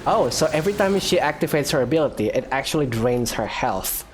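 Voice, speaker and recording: A woman's voice: extremely low pitch, expressive, a little fast, slight background noise. A woman giving casual, chatty gameplay commentary, reacting aloud as she plays. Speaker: gaming streamer. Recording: game music behind the voice.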